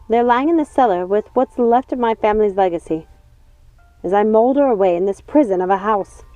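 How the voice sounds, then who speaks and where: A woman's voice: medium pitch, dark tone, no room sound, lightly acted. A woman voicing a wounded, bitter witch in a storybook, accusing and aggrieved. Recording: dull lo-fi sound, music underneath.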